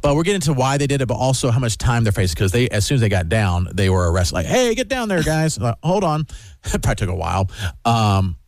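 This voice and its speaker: A man's voice: mid-range voice, very animated, fast, no echo. Chatty laughing radio host man. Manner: laughs easily, loose and chatty. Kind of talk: casual morning-show banter.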